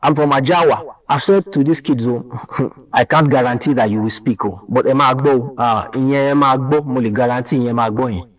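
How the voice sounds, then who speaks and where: Nigerian man telling a lively story. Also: mid-range voice, a little fast, distant mic, low-quality recording. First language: Yoruba. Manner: lively, mimics people, mixes in pidgin. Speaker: content creator. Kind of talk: animated storytelling monologue.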